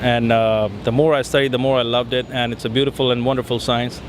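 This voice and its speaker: A man in his thirties from India with an Indian accent, answering interview questions outdoors in a city square, pausing often with fillers. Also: medium pitch, level tone. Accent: Indian, Punjab. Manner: hesitant, many fillers. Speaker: interview guest. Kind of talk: casual interview. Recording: street traffic noise behind. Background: city traffic.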